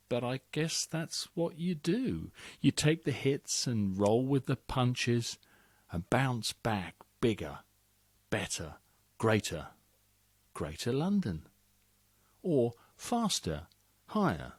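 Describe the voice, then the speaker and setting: Man, poem recital, playful rhythmic delivery, very lively pitch, unhurried, dry close sound. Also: no echo. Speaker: reader of a competition entry. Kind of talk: poetry recital.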